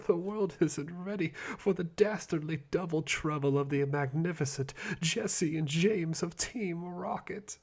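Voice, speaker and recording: A man playing a vain, whiny and childishly enthusiastic villain sidekick in an ASMR roleplay, with a breathy, theatrical delivery. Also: fairly high pitch, measured pace, hardly any pauses. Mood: gleeful, scheming. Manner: flamboyant, dramatic, petulant.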